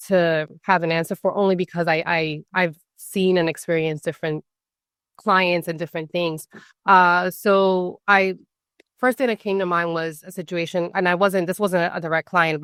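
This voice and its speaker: A woman's voice: lowish voice, fairly bright tone, clean tone, no echo, studio quality. A woman speaking as a guest on a podcast, explaining her work as a financial social worker in a thoughtful, conversational way and often checking in with "right?". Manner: thoughtful, leans on "right?" tag questions and "like" fillers. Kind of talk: casual podcast interview.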